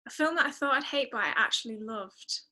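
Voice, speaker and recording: A woman's voice: fairly high pitch, animated, a little fast, bright, forceful tone. A woman chatting casually as a guest, emphatic and thinking aloud as she goes. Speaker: podcast guest, singer-songwriter. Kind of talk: casual chat.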